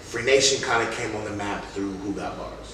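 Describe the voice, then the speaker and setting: A young man with a very low voice tells stories casually, full of slang and replayed bits of conversation. He sounds like a rapper in an interview, picked up from a distance in a very echoey room. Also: a little fast, pushed and bright. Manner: slangy, acts out remembered dialogue. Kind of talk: casual anecdotal interview talk. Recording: echoey room, distant mic, faint noise.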